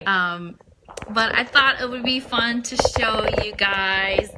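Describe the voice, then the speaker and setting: A woman's voice: mid-range voice, slow pace, very noisy. A woman hosting a casual fan radio show, chatting enthusiastically and ad-libbing in a gushing way.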